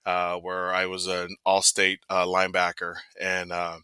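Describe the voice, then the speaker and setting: A man's voice: deep voice, monotone, unhurried, sharp tone, clear, resonant tone, no room sound, clean studio sound. A middle-aged man from American Samoa who grew up later in Seattle, talking earnestly and casually as an interview guest, repeating phrases for emphasis. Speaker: podcast guest, youth sports coach and father. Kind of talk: casual personal storytelling in an interview.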